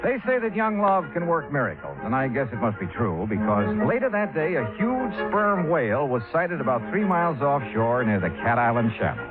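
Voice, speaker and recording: An actor playing a wry, measured insurance investigator who narrates an old radio detective drama. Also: male voice, medium pitch, sing-song, close to an everyday voice. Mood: wry narrating. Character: wry, steady male insurance investigator from up North. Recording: tinny old radio recording with music.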